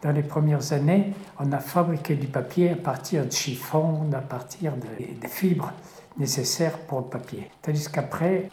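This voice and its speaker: A man explaining local history in a measured, halting way. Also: fairly high pitch, mellow, a little hiss or hum. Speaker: local witness to factory history. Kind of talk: explanatory talk. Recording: roomy recording with music underneath.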